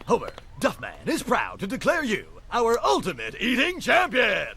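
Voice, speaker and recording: A man's voice: huge pitch swings, moderate pace, creaky, pushed and bright, a little hiss or hum, heavily acted. A man playing a bombastic, showy mascot who announces a contest in a cartoon game. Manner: booming, showy, grandiose. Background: music.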